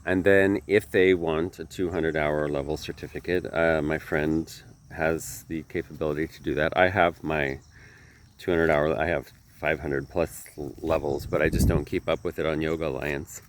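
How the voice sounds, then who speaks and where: A man's voice: rumbling low, fairly even intonation, moderate pace, slight background noise. A man who teaches yoga, talking casually to camera, a little nasal from a sinus infection, earnest and rambling, often correcting himself.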